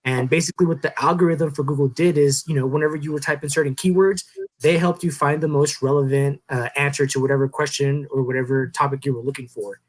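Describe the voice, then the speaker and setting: A man in his thirties co-hosting a podcast, explaining things in a relaxed, rambling way with many fillers. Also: a little high, fast, poor audio. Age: 30s. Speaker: podcast co-host. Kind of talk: casual podcast chat.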